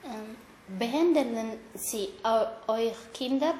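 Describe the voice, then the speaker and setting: A woman asking interview questions in a formal, halting learner's way. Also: fairly high pitch, warm tone. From Eritrea. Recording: echoey room, faint background noise.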